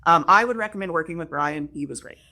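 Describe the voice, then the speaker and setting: A woman's voice: low voice, lively intonation, fast talker, vocal fry, bright, forceful tone. A woman, a library assistant director, reading prepared figures and adding friendly casual asides.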